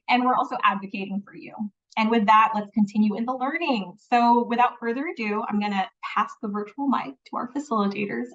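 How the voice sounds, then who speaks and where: A woman hosting a webinar over a video call, giving an earnest scripted welcome and land acknowledgement with light laughs. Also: a little high. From Philippines. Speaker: webinar host. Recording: distant, dull lo-fi call audio.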